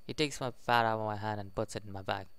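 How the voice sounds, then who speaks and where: Young man reading game text haltingly. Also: fairly low pitch, a bit bright, a little hiss or hum. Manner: halting reading, stumbles over words. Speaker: gaming YouTuber. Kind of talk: casual let's play, reading game text aloud with commentary.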